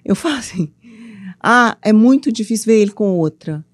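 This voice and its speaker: A woman's voice: medium pitch, moderate pace, no echo, clean studio sound. A middle-aged woman from Minas Gerais with a mineiro accent, telling her story as an interview guest and acting out what people said. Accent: Minas Gerais Portuguese. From Minas Gerais, Brazil. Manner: animated, acts out quotes, playful teasing. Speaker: guest, author and mentor for women.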